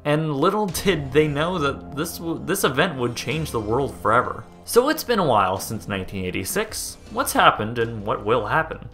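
Young American guy, upbeat host, animated bright read, casual and joking. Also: mid-range voice, moderate pace, few pauses, soft background music. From United States. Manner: jokey, excitable, swears and trips over place names. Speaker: host narrating a documentary video. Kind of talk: casual narration over footage.

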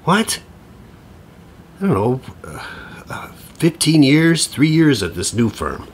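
A man's voice: fairly high pitch, very animated, slow pace, slight background noise. An actor playing a harried, foul-mouthed, despairing middle-aged man in an audio play, stammering and rambling in exasperation. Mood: frazzled, venting. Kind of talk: audio theater acting.

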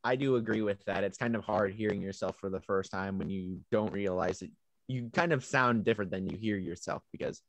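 A man's voice: fairly low pitch, brisk, no room sound, rough recording. A young man reflecting casually as a guest actor on a video call. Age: young adult. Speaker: amateur actor, panel guest.

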